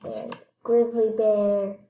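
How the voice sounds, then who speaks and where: A woman reading a children's picture book aloud expressively, stressing words and pausing to point things out. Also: mid-range voice, flat delivery, echoey room, narrow, tinny audio. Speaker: read-aloud storyteller.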